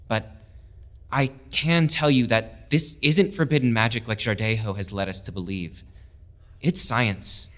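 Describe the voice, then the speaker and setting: A man's voice: mid-range voice, a bit bright. A man playing an idealistic young lieutenant who speaks with earnest conviction. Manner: earnest, persuasive speech.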